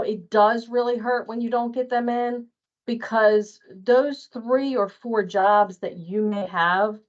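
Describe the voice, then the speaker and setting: A woman's voice: mid-range voice, dull audio. A woman giving an informal workplace training talk as a billing trainer, emphatic and repeating her points for stress.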